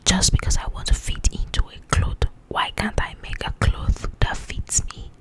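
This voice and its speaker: A woman speaking in a breathy, confiding whisper, chatting casually to the listener in an ASMR style. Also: moderate pace. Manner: confiding, conversational, checks that the listener follows. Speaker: host of an ASMR book channel. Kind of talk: ASMR, whispered chat about book highlights.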